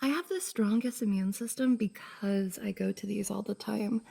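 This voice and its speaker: A woman playing a shy, bubbly young cosplayer and affectionate best friend in an intimate roleplay ASMR, soft, hesitant and giggly. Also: mid-range voice, normal speed. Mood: playful, happy. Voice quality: soft, breathy, slightly husky. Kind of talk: F4M roleplay ASMR, intimate one-sided conversation.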